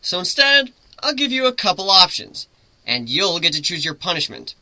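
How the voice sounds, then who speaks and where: A man's voice: high-pitched, big pitch swings, moderate pace, dry recording, voice pushed up, full character voice, rough recording. Man playing a brash, commanding griffin captain. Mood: mock stern. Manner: loud, theatrical, taunting. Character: brash, commanding male griffin ship's captain, teasingly menacing.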